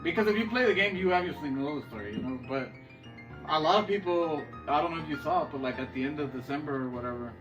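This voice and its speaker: A man's voice: fairly high pitch, expressive, fast. A man co-hosting a podcast in casual, slangy chat about movies and games, swearing and saying dude often. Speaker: podcast co-host. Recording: distant mic with music playing behind.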